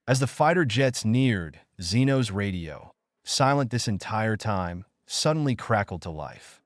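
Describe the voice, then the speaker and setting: A man's voice: fairly low pitch, no echo, clean studio sound. A man reading a scripted story in a dramatic, suspenseful narrator's style.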